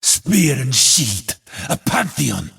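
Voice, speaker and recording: A man voicing a grim, resolute warrior hero in a video game, raspy and gravelly, making weighty, defiant pronouncements. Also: medium pitch, very animated, slow, a bit bright, exaggerated voice. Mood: solemn, defiant.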